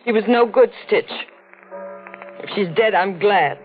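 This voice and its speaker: A woman's voice: mid-range voice, measured pace, soft background music, natural voice. An actress playing an earnest, intense young woman in a vintage radio mystery, speaking with urgent, dramatic emphasis. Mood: bitter. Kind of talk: 1940s radio melodrama acting. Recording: muffled old radio drama recording.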